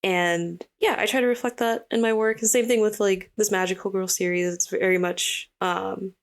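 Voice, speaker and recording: A young woman from Hawaii, a guest artist, chatting casually in an interview with a relaxed, rambling manner and lots of fillers. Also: medium pitch, moderate pace.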